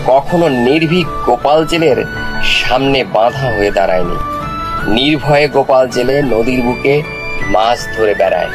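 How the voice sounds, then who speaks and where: Male storyteller, medium pitch, over music. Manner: measured, formal storytelling. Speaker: narrator. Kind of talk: scripted story narration. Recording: music behind the voice.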